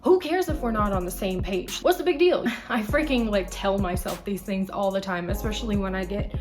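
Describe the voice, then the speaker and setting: A woman's voice: mid-range voice, fairly quick, music in the background. A woman in her late twenties talking casually to camera, chatty and emphatic as she runs through a list of life lessons. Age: 20s. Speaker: vlogger.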